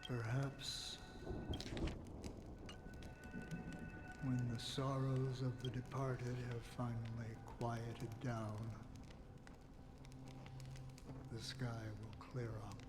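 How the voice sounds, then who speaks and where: Guy playing brooding philosopher dude in a video game, grave, really slow with dramatic pauses, music under it. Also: mid-range voice, little pitch movement, lightly acted. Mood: somber. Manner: measured, deliberate, dramatic pauses.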